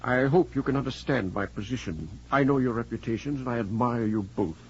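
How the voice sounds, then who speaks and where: A man plays a grave middle-aged college dean in 1940s radio style. He speaks formally and firmly at a medium pitch. The recording is dull and slightly hissy. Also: a little mellow, lightly acted. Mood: grave, regretful. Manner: formal, measured, stern. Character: grave, formal male college dean, regretful but firm.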